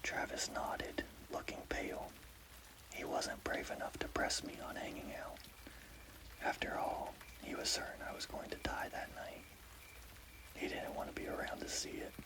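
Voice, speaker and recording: A man narrating a scary story as ASMR in a breathy, unvoiced whisper. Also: moderate pace.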